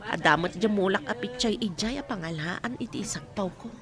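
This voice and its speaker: A woman playing a poor, patient young wife and mother in a radio drama, gentle and deferential. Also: medium pitch, expressive, brisk, lightly acted. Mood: gentle, resigned. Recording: music under the voice.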